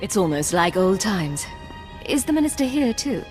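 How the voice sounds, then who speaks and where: An actress playing a cool, capable secret agent with a crisp, probing manner in a video game. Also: female voice, medium pitch, big pitch swings, normal speed, faint music behind, natural voice. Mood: composed, curious. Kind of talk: video game drama acting.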